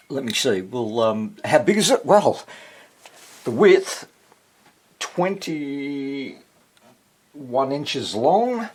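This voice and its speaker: A man with a husky voice and plenty of fry talks through a repair at a slow pace. He sounds like a casual hobby video host, working without a script. He hesitates often and asks himself questions as he goes. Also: medium pitch. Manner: hesitant, thinking aloud, muttering self-questions. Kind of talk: casual unscripted repair walkthrough.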